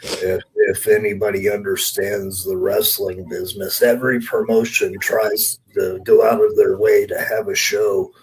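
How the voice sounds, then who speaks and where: A man's voice: on the low side, level tone, measured pace, rough recording. A man chatting casually as a podcast panelist, colloquial and given to swearing. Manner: profane, colloquial.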